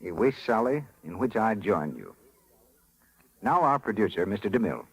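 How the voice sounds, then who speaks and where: Low-voiced 1930s American radio announcer man. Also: big pitch swings, slightly rough, rough recording. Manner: polished, measured announcing. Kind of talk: scripted 1930s radio advertising narration. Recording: 1930s radio broadcast with music.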